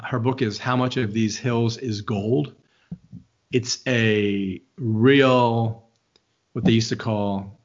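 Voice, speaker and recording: Older male host, low voice, meandering questions, lo-fi dull audio. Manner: rambling, musing questions with many restarts. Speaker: bookstore radio host interviewing an author. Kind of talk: conversational interview. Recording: dull, lo-fi sound.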